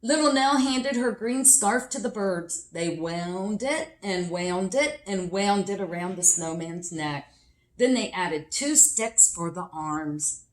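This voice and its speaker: Slow-paced woman reading a children's story. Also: lowish voice, animated, poor audio. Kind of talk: expressive picture-book read-aloud.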